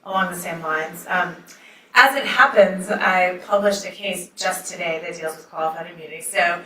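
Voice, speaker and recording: A soft-spoken woman judge moderating a law school debate, opening with remarks and reading from a ruling, with many ums. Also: fairly low pitch, normal speed. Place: lecture hall. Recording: echoey hall, mic at a distance.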